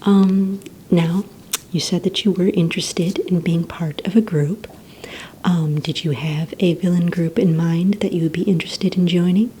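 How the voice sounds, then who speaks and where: Soft, low, near-whispering villain receptionist played by a woman. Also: steady tone, normal speed, slight background noise, close to an everyday voice. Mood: helpful, businesslike. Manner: gentle, hesitant, courteous interviewer. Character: polite, organized female receptionist at a supervillain recruitment center. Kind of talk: soft-spoken ASMR roleplay.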